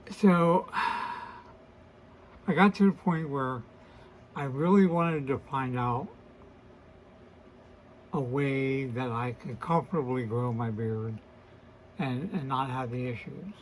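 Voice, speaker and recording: A man in his sixties with a hoarse, weakened voice, hosting a casual to-camera vlog and talking in a hesitant, apologetic way with many fillers and restarts. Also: mid-range voice, takes it slowly, long pauses, slight background noise, poor audio. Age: 60s. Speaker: host of a beard channel.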